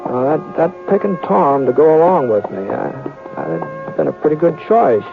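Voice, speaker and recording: A man's voice: fairly high pitch, big pitch swings, gravelly. An American man playing an easygoing western gunman, telling his tale in a folksy, hesitant way as in an old-time radio drama. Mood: wry, musing. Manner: hesitant, folksy, drawn-out phrasing. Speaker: narrator and lead character. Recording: old radio recording, phone-like, faint music.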